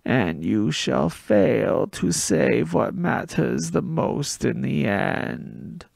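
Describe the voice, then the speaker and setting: An ancient oracle spirit speaks slowly in a raspy, slithering rasp that seems to drift from a distance, as a male narrator puts it on. The voice rises high and falls low in eerie swoops. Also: very animated, a little mellow, no echo, studio quality, heavily acted. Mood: ominous.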